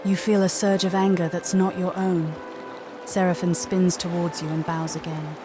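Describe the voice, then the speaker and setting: A woman's voice: lowish voice, little pitch movement, moderate pace, music in the background. A woman reading scripted story narration for a video game playthrough. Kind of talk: scripted story narration with voiced player lines.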